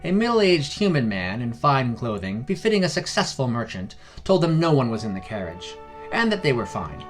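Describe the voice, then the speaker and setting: Man narrating fantasy with lively intonation. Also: mid-range voice, normal speed, soft background music. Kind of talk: scripted fantasy story narration.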